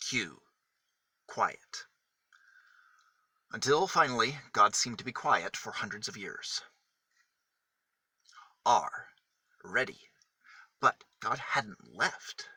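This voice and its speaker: A man reading a picture book aloud for small children, with deliberate, emphatic phrasing that sets single words apart. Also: fairly low pitch, animated, sharp tone, breathy edge, dry recording. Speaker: children's storybook reader.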